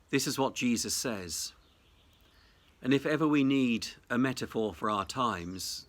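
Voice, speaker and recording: A clergyman delivers a short Easter reflection. His voice is bright and forward, of middling pitch for a man. He phrases deliberately and thoughtfully, as a preacher does. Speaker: Anglican cathedral dean.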